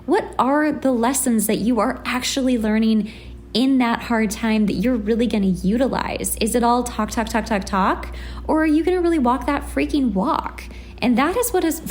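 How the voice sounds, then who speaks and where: A woman hosting a casual motivational solo podcast, talking in an informal, conversational and emphatic way. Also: a little high. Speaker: podcast host.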